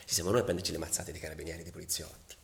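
An actor playing a passionate, embittered football ultra in a halting, colloquial confessional monologue for radio theatre. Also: male voice, deep voice, little pitch movement, vocal fry, a bit bright, slight background noise. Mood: bitter, indignant. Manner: halting, colloquial, dropping to near-whispers.

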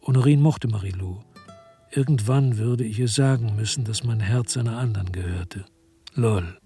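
An actor playing a weary, dogged French detective who narrates his noir radio crime story in a measured, laconic way. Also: lowish voice, warm tone, faint music behind, natural voice. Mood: weary, reflective. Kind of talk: first-person narration in a noir radio drama.

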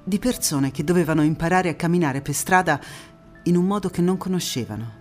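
A woman playing a proud, defiant anti-fascist partisan who recalls her youth in a theatrical monologue, with measured phrasing and deliberate pauses. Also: fairly low pitch, darkish tone, faint music behind, natural voice. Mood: proud, reflective. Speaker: stage actress in a one-woman monologue. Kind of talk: theatrical first-person storytelling monologue.

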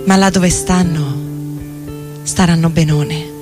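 Woman acting a weary hotel bar porter, disillusioned, slow theatrical recitation, loud plucked guitar underneath. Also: lowish voice, steady tone. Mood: bitter sorrow. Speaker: stage reader. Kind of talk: theatrical spoken-word reading of lyrics. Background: plucked guitar music.

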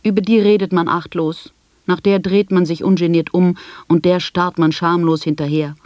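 An actress playing a blind woman who tells of her life in an articulate, measured, sardonic radio drama monologue. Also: lowish voice, fairly even intonation, a little mellow, no echo, clean studio sound, close to an everyday voice. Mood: bitter. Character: blind woman recounting her life with bitter, ironic humour.

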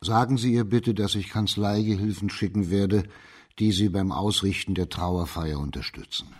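A man in a radio drama playing a shrewd judge of old China, measured, formal and courteously commanding. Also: deep voice, close to an everyday voice. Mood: formal, probing.

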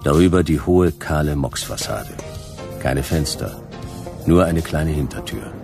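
Hardboiled detective, super low gravelly voice, dry and wry, noir radio drama with music behind. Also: male voice, unhurried. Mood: laconic, wry. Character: laconic, hard-boiled private detective narrating his case. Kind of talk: noir radio play narration and acting.

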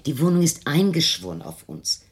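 A woman's voice: low-pitched, very animated, normal speed. An actress playing an anxious, protective middle-aged mother in a German radio drama, soothing and placating in a theatrical manner. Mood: tender, coaxing. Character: anxious, protective, coaxing mother of a troubled daughter.

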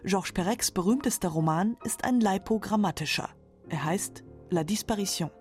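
A woman's voice: mid-range voice, normal speed, darkish tone, soft background music. A woman reading scripted narration for a literary radio documentary, close on a studio microphone.

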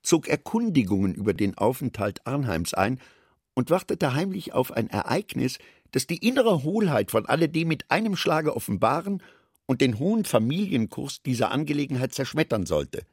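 A man reading scripted literary narration for a radio play in a measured, expressive way. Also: mid-range voice, breathy edge, no echo, clean studio sound.

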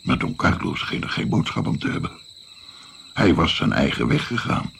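An older musician telling his past in a radio play. His deep voice creaks and moves expressively as he muses and mocks himself. Faint nature ambience sits behind him. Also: normal speed, a little hiss or hum, full character voice. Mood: musing. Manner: musing, hesitant, expressive. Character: older musician looking back, reflective, self-mocking narrator. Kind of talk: Dutch radio drama, storytelling in dialogue.

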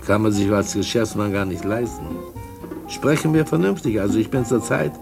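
Smug low-voiced male blackmailer. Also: normal speed, creaky, over music. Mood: mocking, threatening. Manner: smug, cajoling, mock-courteous, chuckling. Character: sly sinister male blackmailing drug dealer, mockingly polite. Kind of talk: mid-century German radio drama acting.

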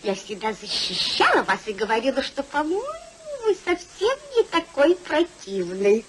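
An actress playing a coquettish, teasing and quarrelsome young wife of an older husband in a theatrical 1950s radio play. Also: female voice, on the high side, big pitch swings, normal speed, a bit bright, breathy edge, exaggerated voice. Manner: playful, laughing, coquettish delivery. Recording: 1950s radio recording with music behind.

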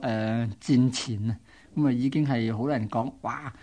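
A man's voice: mid-range voice, steady tone, normal speed, warm tone. A man with a Hong Kong Cantonese accent co-hosting a radio show, explaining local history as conversational storytelling. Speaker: radio co-host.

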